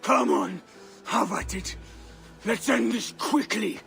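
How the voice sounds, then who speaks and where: Gravelly, high-voiced sneering captive villain. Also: male voice, slow pace, a bit bright, faint music behind, full character voice. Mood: taunting. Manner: sneering, taunting. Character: defiant, sneering captive male villain taunting his torturer. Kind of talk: video game cutscene acting.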